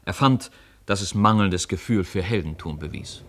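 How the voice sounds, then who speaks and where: A man with a low voice narrates a radio drama. He tells the story in a measured, literary way, as a scripted reading. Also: slight background noise.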